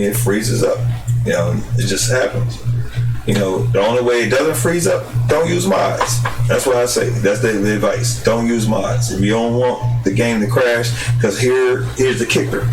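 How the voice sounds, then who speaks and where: A tired, hoarse man rambling through casual game commentary from the United States, with lots of fillers and restarts. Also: fairly low pitch, recorded from a distance. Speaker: gaming channel host. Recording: roomy, off-mic with music behind.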